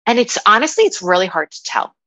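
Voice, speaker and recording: A woman speaking as a guest coach in a reflective, conversational interview, often halting as she thinks aloud. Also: medium pitch, sing-song, bright, forceful tone, no room sound. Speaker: podcast guest, dating and relationship coach.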